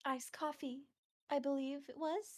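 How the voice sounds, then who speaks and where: Woman playing a high-voiced bratty young witness. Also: steady tone, crowd noise, natural voice. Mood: smug. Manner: theatrical, taunting, drawn-out indignation. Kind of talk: video game fandub acting.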